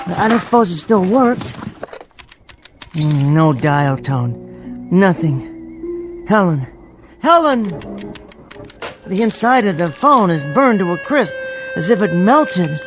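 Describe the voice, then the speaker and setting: An actor playing a meek, bookish bank clerk who talks to himself in an old-time radio drama style. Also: male voice, sits high, big pitch swings, full character voice. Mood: anxious, searching. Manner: talks to himself, halting repetitions when shaken. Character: meek bookish male bank clerk, dazed lone survivor. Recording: radio drama with music underneath.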